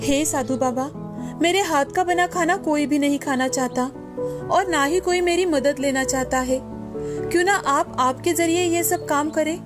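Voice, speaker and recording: Woman playing a frightened, high-voiced old miser woman. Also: a little slow, music in the background. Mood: pleading. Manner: pleading, anxious. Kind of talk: animated moral-story dialogue.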